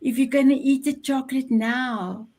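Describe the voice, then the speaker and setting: A woman's voice: high voice, steady tone, normal speed, a little mellow. A South African woman whose first language is Afrikaans, hosting an online show over a video call and gushing with praise. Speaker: show host.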